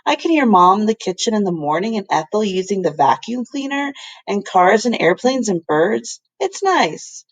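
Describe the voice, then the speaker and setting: A woman's voice: medium pitch, very animated, moderate pace, rough recording. A woman voicing an eager, earnest young boy with simple, childlike phrasing. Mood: cheerful.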